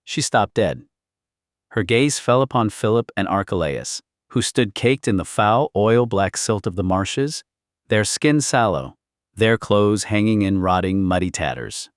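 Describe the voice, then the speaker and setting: A man with a low voice narrates a historical saga in a dramatic, scripted style. His delivery is solemn and theatrical, and the sound is dry, with very little room around it. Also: expressive, unhurried, no echo. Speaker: audiobook narrator.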